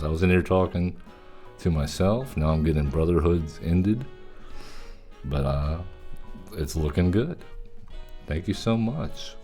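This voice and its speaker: A man's voice: deep voice, dark tone. A man streaming a card game and chatting easily with viewers as he plays. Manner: easygoing, chatty, thanks viewers. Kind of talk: casual gameplay commentary.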